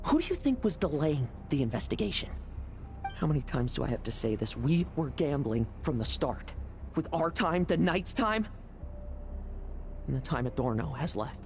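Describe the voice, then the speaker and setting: A sardonic young schemer in a video game, kinda high voice, real expressive, blunt and tired of everyone's nonsense. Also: male voice, moderate pace, slight background noise. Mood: stern, frustrated. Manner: dry, blunt, lecturing.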